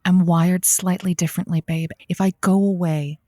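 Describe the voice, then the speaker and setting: Woman as low-voiced, soothing radio advice host. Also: flat delivery, normal speed, warm tone, no room sound, studio quality, close to an everyday voice. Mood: coaxing, advising. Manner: soothing, empathetic, coaxing, uses pet names. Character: sympathetic, flirty female late-night radio advice host. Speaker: radio call-in show host. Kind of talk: improvised comedic advice-show hosting.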